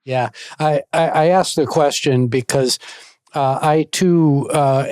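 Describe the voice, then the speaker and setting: A man's voice: medium pitch, a little mellow, no room sound, clean studio sound. A man hosting a podcast, interviewing a guest in a conversational way. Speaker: podcast host.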